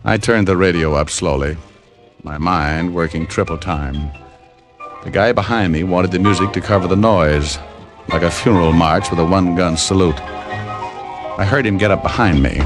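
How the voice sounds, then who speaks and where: Man playing hardboiled radio detective, American, low voice, wry, deadpan, first-person narration, background music. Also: moderate pace, close to an everyday voice. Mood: tense narration. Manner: wisecracking, deadpan. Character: wry, tough male private detective, cool under threat. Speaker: lead actor and narrator.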